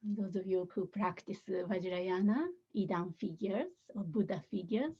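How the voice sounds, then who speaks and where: A woman meditation teacher leading a guided meditation softly and gently, with many pauses and a breathy voice that often nears a whisper. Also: mid-range voice, lo-fi sound.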